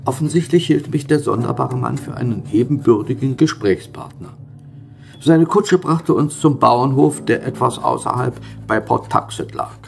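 A man acting a learned, curious but wary gentleman in a German horror radio drama, speaking in measured, formal, old-fashioned diction. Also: medium pitch, vocal fry, faint music behind. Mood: grave narration.

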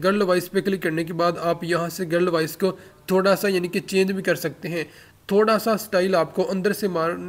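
High-pitched man giving a tech tutorial. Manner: instructional, addressing viewers directly. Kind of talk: spoken app tutorial, step by step.

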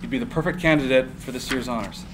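A man's voice: medium pitch, fast talker, noisy background, natural voice. A man reading an eager young writer. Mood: earnest. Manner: eager, pressing, polite. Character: earnest young male technical writer.

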